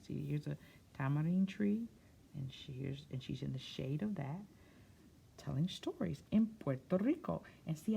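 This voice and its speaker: A woman reading a picture book aloud for children, stretching key words expressively and pausing for soft explanatory asides. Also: low-pitched, vocal fry, husky. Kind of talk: read-aloud story time with explanatory asides.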